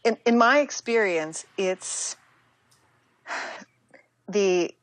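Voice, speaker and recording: An American woman speaking as an interview guest, talking reflectively in a halting, casual way with many asides. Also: mid-range voice, lively intonation, no echo. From United States. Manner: reflective, halting, with fillers and self-quoting asides. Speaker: interview guest, yoga teacher and author.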